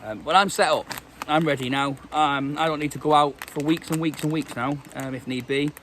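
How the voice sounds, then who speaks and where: A man's voice: fairly high pitch, moderate pace, fairly bright tone, slight background noise. Stuffy-voiced British man rambling outdoors. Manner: rambling, many ums and restarts. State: stuffy with a cold. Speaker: vlogger. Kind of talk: casual vlog chat. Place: outdoors in woodland.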